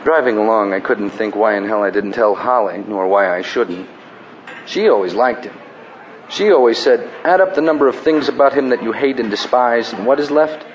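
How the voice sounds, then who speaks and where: An actor playing a wry, dry-witted ballplayer who also narrates, in deadpan, formal phrasing. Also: male voice, on the low side, normal speed, muffled recording, a little hiss or hum, lightly acted. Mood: narrating. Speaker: narrator and character. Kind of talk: radio drama acting.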